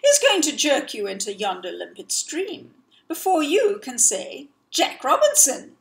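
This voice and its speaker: A pompous python snake talks in stiff, old-fashioned phrases, formal and superior, voiced by a woman telling a storybook tale. The delivery is measured and slow. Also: sits high, huge pitch swings, vocal fry, voice pushed up, heavily acted. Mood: lofty warning.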